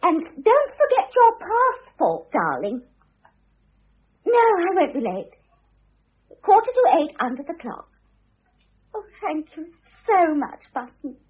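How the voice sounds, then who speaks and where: An actress playing a weepy, scheming wife in an old radio play, cooing and pleading melodramatically. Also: female voice, very high-pitched, wildly swooping pitch, takes it slowly, long pauses, heavily acted. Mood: sweet, cajoling. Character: weepy, scheming young wife eloping with her lover. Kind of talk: classic radio play acting. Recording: muffled, narrow-band old radio recording.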